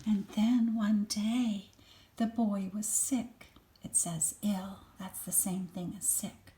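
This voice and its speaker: A woman's voice: medium pitch, fairly even intonation, takes it slowly. An older woman, a grandmother, reading a storybook expressively to children with chatty asides. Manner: child-directed, dramatic emphasis, gasps and hushed asides.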